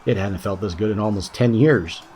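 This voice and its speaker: A man's voice: lowish voice, normal speed, darkish tone. A man giving a scripted narration of a fantasy audiobook as its narrator.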